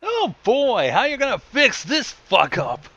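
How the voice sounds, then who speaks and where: A man's voice: very high voice, huge pitch swings, unhurried, pushed and bright, highs cut off. A man giving casual commentary on a story as it is read, heckling in a sardonic, sweary way.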